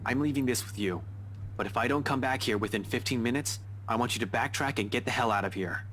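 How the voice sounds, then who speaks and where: A man's voice: mid-range voice, fast talker, a bit bright, slight background noise, natural voice. A man playing a determined police detective in a visual novel game, speaking firmly and bluntly with protective urgency. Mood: blunt, stern.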